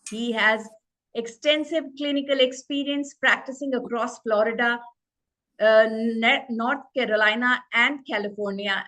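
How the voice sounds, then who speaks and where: A woman hosting, reading a formal introduction in a halting way with restarts, over a video call. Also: a little high, bright, forceful tone. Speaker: host, moderator.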